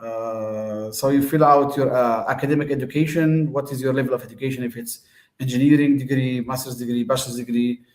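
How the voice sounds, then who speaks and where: A man's voice: medium pitch, fairly even intonation, fairly quick, darkish tone, echoey room. A man from Tunisia, host of the show, giving a casual, hesitant explanation full of fillers and restarts.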